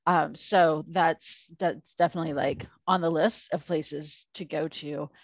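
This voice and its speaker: A woman's voice: deep voice, fairly even intonation, measured pace, dry recording, lo-fi sound. A woman co-hosting a podcast in casual chat, rambling with lots of fillers. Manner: rambling, filler-heavy, self-deprecating. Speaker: podcast co-host.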